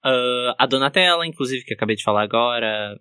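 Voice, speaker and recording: A man hosting a casual chat, talkative with quick asides and easy laughter. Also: mid-range voice, lively intonation, pushed and bright, smooth, dry recording. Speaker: podcast host.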